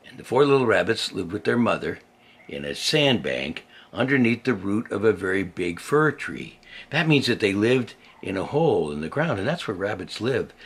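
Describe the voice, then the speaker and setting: Older guy reading a kids story, husky and low, really animated, explains stuff as he goes. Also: moderate pace. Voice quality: husky, gravelly. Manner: simple explanations, playful, expressive. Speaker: grandfather reading a children's story. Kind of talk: storytelling to a young child with chatty asides.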